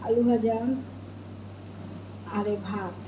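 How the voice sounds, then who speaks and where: A woman's voice: medium pitch, steady tone, takes it slowly. A woman vlogging casually at home, talking to viewers, repeating her phrases and asking them questions. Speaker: home cooking and eating vlogger. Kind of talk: casual chatty vlog talk to viewers. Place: indoors at home. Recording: echoey room with clear background noise.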